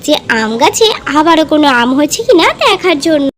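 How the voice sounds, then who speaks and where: A woman's voice: high voice, lively intonation, a little fast, a little hiss or hum. A woman narrating a scripted children's story as the storyteller.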